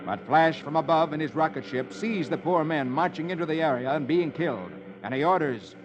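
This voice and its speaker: An actor playing a jolly comics-reading friend to children, in a theatrical 1950s radio storytelling style. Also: male voice, a little high, fairly quick, crowd noise, natural voice. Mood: dramatic storytelling. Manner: jolly, animated. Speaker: radio host and comics reader. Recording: lo-fi old radio, loud music behind.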